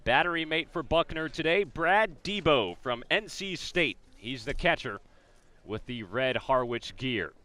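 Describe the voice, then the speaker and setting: A man with an American accent calling a baseball game as a radio play-by-play announcer, describing each pitch and the setting. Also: lively intonation, unhurried, sharp tone. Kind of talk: live sports commentary, descriptive radio play-by-play.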